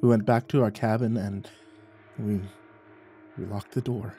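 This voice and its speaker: A man narrating a first-person horror story in a tense, halting way with stammered restarts. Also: fairly low pitch, fairly even intonation, warm tone. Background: faint music.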